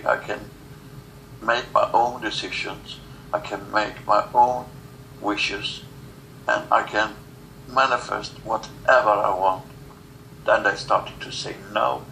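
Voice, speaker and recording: A low-voiced man speaks very slowly as a spiritual channeler, with a heavy creak in the voice. His comes out in short, broken phrases with long gaps, over faint background noise. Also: sharp tone, rough recording. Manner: halting, fragmented phrases with long pauses.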